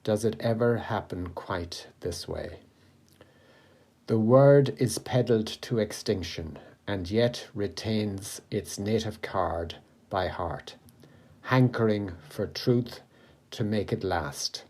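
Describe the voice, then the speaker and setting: Slow, low-pitched poetry reader. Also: warm tone. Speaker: poet. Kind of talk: slow, measured poetry recitation.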